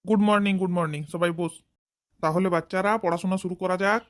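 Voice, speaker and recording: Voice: medium pitch, steady tone, fast, close to an everyday voice. A performer voicing a stern, exasperated male schoolteacher in a comedy cartoon, lecturing and scolding. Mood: didactic. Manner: scolding, didactic.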